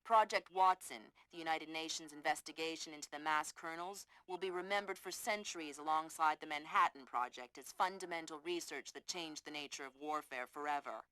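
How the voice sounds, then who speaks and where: A woman's voice: low voice, fairly quick, hardly any pauses, a bit bright, no echo, low-quality recording. A woman playing a cool, articulate intelligence analyst in a video game briefing. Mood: serious.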